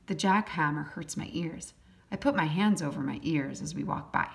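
Low-pitched woman reading a storybook. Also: moderate pace, creaky. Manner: acts out sound effects. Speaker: music teacher reading a picture book aloud. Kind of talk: children's storybook read-aloud.

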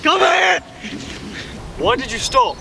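A man's voice: very high-pitched, sing-song, slow pace, vocal fry, background noise. A young man acting in an amateur short film outdoors, bewildered and exasperated. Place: outdoors in a park. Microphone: distant camera mic.

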